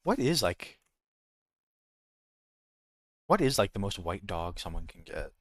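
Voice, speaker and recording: A man's voice: low-pitched, sing-song, slow, dry recording, studio quality. A man with an American accent chatting casually as a game streamer, rambling and jokey, often correcting himself mid-thought. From United States. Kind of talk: casual livestream chat.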